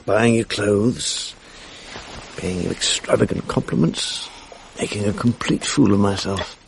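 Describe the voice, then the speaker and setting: A man's voice: fairly low pitch, unhurried, creaky, poor audio. An actor playing a world-weary, middle-aged upper-class Englishman in a radio drama, with an English accent and a wry, urbane, self-mocking manner. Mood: wry, tender. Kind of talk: 1980s British radio drama acting, intimate naturalistic dialogue. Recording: faint noise on archive radio recording.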